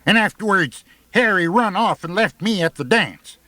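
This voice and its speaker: Man voicing a squeaky, snappish backwoods girl. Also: animated, moderate pace, sharp tone, no room sound. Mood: blurting. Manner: snapping, curt, rustic grammar.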